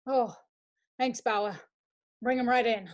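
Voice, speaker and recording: An anxious young gentleman played by a woman in a radio mystery. The voice sits fairly high and comes in halting, urgent phrases, as a well-mannered suitor caught up in a crime. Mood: subdued, cooperative. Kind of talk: radio mystery drama acting.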